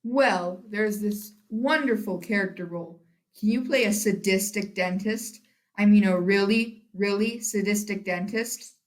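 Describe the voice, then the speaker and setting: A woman's voice: medium pitch, measured pace, lightly acted. A teenage girl acting a flustered, placating Hollywood film director in a school stage comedy. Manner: theatrical, placating.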